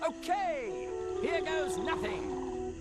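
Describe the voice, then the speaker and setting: An actor playing an eccentric, exuberant gentleman balloonist in a video game cutscene, with pompous, genteel, theatrical delivery. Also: very high voice, big pitch swings, extremely slow, exaggerated voice. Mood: excited, genteel. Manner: pompous, genteel, flowery wording. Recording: loud music and vehicle noise behind.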